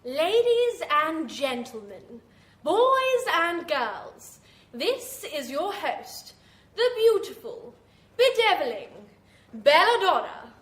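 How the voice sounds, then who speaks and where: An actress playing a beguiling, gleefully macabre hostess of a 1940s-style horror radio show, with theatrical, teasing phrasing. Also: female voice, very high-pitched, sing-song, slow, noisy background, heavily acted. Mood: gleeful, seductive. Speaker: host. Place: small theatre before an audience. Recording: echoey live stage.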